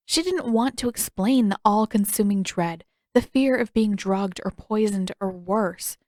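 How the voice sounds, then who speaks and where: A woman's voice: medium pitch, no echo, clean studio sound. A woman reading scripted fiction aloud as a story narrator. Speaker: audiobook narrator, fan fiction reader.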